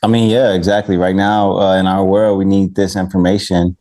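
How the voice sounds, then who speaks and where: Deep, monotone man in discussion. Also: brisk. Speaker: panel discussion participant. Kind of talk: unscripted panel discussion.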